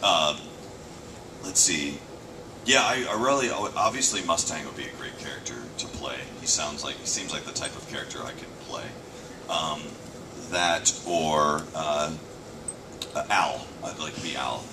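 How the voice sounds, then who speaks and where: A man's voice: a bass voice, unhurried. A man, a voice actor, answering fan questions at a convention panel in a casual, joking way. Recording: distant fan-shot recording with noise.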